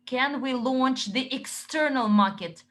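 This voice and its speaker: Slow, bright-voiced woman interpreter. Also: sits high. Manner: halting phrasing in short chunks. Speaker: interpreter relaying a company update. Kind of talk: consecutive interpreting of questions and answers.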